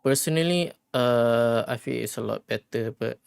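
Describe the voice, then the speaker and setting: A game livestreamer chatting casually, rambling and halting while thinking aloud. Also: mid-range voice, vocal fry. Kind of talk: casual gaming stream chat.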